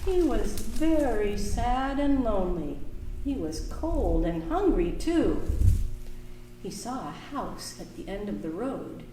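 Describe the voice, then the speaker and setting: A woman hosting a library storytime for children, singing little songs and reading a picture book aloud in a slow, expressive way. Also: medium pitch, recorded from a distance, a little hiss or hum. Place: library room. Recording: echoey room, voice from afar.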